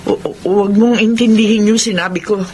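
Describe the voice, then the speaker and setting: A woman's voice: medium pitch, steady tone, brisk, darkish tone, a little hiss or hum, lightly acted. A woman playing a nervous, evasive older wife in a Filipino radio drama, flustered and stammering.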